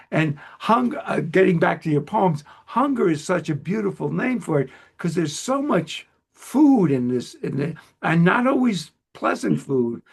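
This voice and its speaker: Gravelly, husky older man hosting an interview. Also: a little high. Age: 70s. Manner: rambling, halting, self-deprecating. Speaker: host interviewer. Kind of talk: casual conversational interview.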